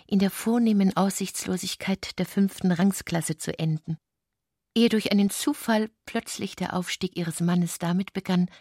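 A woman narrating literary prose for a radio play in a breathy voice. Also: on the low side, a little mellow, dry recording, clean studio sound.

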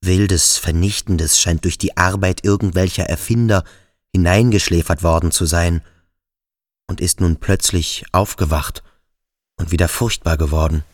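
A man reading inner thoughts in a literary radio drama, brooding and in short, halting phrases. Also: low voice, fairly even intonation, no echo, studio quality. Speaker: second narrator voicing inner thoughts.

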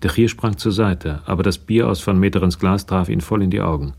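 A man narrates a radio crime play in a very low, mellow voice. There is a gravelly creak to it, and the delivery is that of scripted literary storytelling. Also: brisk.

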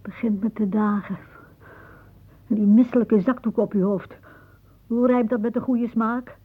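An actress playing a fretful, suspicious older aunt with a sharp tongue in a radio play. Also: female voice, on the high side, steady tone, unhurried, slightly rough. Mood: scornful. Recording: muffled phone-like old radio recording.

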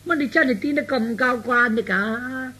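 A woman playing a fretful elderly father in a comic radio drama, agitated and stammering, with a husky, sharp voice. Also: a little high, moderate pace. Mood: alarmed, lamenting. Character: flustered, fretful elderly father of a grown son.

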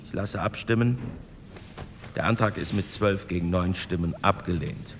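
A man playing a formal, measured chairman of a 1940s parliamentary committee in an audio drama. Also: low-pitched, close to an everyday voice. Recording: long hall reverb, faint noise.

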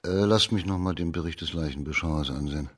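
A man in a radio drama playing a shrewd judge of old China, measured, formal and courteously commanding. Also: rumbling low, a little hiss or hum. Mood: pensive.

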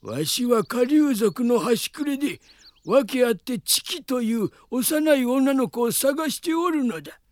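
A man acting a quirky old dragon-kin in human form, with creaky voice and archaic, theatrical old-man speech in a Japanese radio drama. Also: very high-pitched, lively intonation, a little slow, darkish tone, no echo. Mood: gruff, solemn. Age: older.

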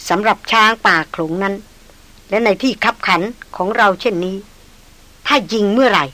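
A woman voicing a calm male jungle hunter who speaks slowly, steadily and politely in a storybook reading. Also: medium pitch, no room sound, lightly acted.

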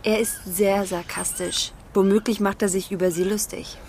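A woman acting in a radio drama as a lively, outspoken young daughter, proud and teasing, who drops English phrases into her speech. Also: medium pitch, moderate pace, slight background noise, natural voice. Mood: candid, cautioning.

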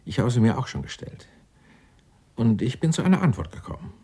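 A man's voice: mid-range voice, sing-song, a little fast, darkish tone, husky. An actor playing an eccentric, indignant, self-righteous clerk in his sixties in a radio drama, speaking in a florid, pompous, theatrical way. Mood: theatrical, sardonic. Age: 60s. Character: eccentric, indignant 64-year-old Dutch tax-law clerk, self-righteous. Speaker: murder suspect being questioned.

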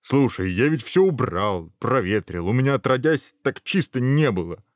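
A man voicing a huge, food-loving ogre in a storybook tale, earthy, unhurried and coaxing. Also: mid-range voice, lively intonation, no echo, studio quality, pitched above the performer's own voice, close to an everyday voice. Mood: coaxing, kindly.

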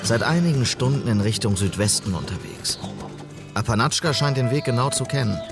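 A man's voice: mid-range voice, lively intonation, brisk, music in the background, natural voice. An actor playing an earnest, dutiful policeman in a German radio drama, speaking in a sober, clipped, formal way. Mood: sober, determined. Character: earnest, dutiful policeman narrating his logbook.

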